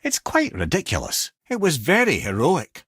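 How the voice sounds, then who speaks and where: Witty old sage, Scottish accent, avuncular storyteller, very animated pitch, dry close recording, video game dialogue. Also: male voice, normal speed, breathy edge, no room sound, heavily acted. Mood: wry, teasing. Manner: wry, loquacious storyteller, avuncular. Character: witty, wry, talking severed head of an old sage.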